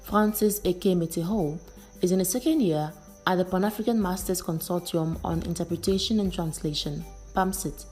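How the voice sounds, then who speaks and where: A woman hosts a podcast in a fairly low, very mellow voice. She presents and interviews, with faint music in the recording. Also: moderate pace. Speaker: podcast host. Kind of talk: scripted podcast introduction and interviewing.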